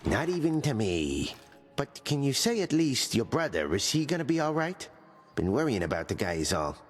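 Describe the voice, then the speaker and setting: An actor playing a gruff, joking male skeleton commander who trains fighters and mixes lofty old words with slang, delivered deadpan. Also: medium pitch, moderate pace, big-room sound, faint music behind. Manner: deadpan jokes mixing archaic and slang words. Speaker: combat trainer.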